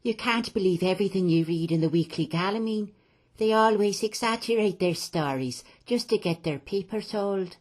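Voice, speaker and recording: A man voicing a stern, sensible lady in a storybook, with a measured, chiding manner. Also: high voice, pitched above the performer's own voice, lightly acted. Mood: snappish.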